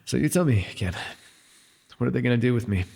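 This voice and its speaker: A man playing a captured telepath supervillain, a sardonic and worldly former mentor, in an immersive audio roleplay, with a husky, gravelly voice and theatrical, wry delivery. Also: medium pitch, animated, fast talker, dark tone. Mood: smug, needling. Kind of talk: immersive ASMR roleplay voice acting. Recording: long reverb, faint music behind.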